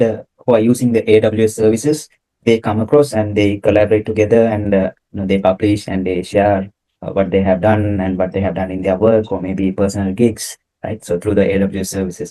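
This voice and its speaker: A young man from Sri Lanka co-hosting a casual slide talk over a video call, explaining things in a relaxed way with fillers. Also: fairly low pitch, brisk, low-quality recording. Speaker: co-host, solution engineer. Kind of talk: casual presentation chat.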